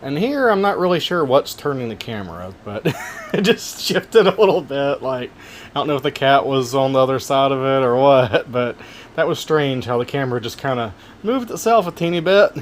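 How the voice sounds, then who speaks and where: Gentle folksy man narrating. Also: mid-range voice, expressive, moderate pace, slight background noise. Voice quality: relaxing voice. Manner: gentle, folksy, joking asides. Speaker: narrator of wildlife camera footage. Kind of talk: casual narration over video.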